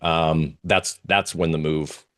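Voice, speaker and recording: A man giving informal live market commentary as a guest analyst, halting and searching for his words. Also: rumbling low, steady tone.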